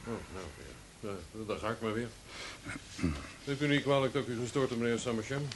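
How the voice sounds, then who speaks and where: A man's voice: mid-range voice, lightly acted. An actor playing a hesitant, polite policeman in a noisy old Dutch radio play. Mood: apologetic. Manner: polite, halting. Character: deferential, hesitant patrolling policeman.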